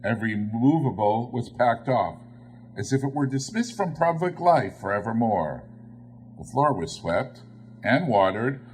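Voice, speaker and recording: A man narrating a literary story as an audiobook reader, in a theatrical, expressive style. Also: on the low side, slight background noise. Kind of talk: dramatic literary story reading.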